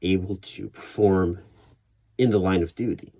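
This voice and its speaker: A man playing a human resources interviewer in an ASMR roleplay, speaking in a measured, probing way with deliberate pauses. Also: deep voice, steady tone, warm tone. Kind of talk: ASMR roleplay, one-sided job interview. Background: computer keyboard typing.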